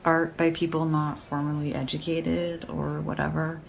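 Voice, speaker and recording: An actress playing a sardonic, deadpan young woman in casual audio drama banter, with a gravelly creak and a dry, teasing delivery. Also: low voice, normal speed. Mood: dry, matter-of-fact. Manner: dry, teasing, slangy, unimpressed. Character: deadpan, sardonic young woman who loves horror paperbacks.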